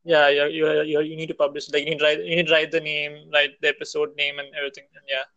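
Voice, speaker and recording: A young man co-hosting a casual podcast over a call, halting and repeating his words. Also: fairly high pitch, monotone, sharp tone, no room sound, highs cut off. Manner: hesitant, repeats words and restarts often. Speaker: podcast co-host. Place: at home in India. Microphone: call audio.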